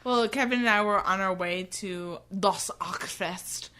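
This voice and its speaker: A woman's voice: medium pitch, measured pace, bright, forceful tone. A woman co-hosting a podcast in casual banter, playful and theatrical, with some casual swearing. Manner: playful, theatrical, prone to mock dramatic readings and bursts of song. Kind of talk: casual banter about a book.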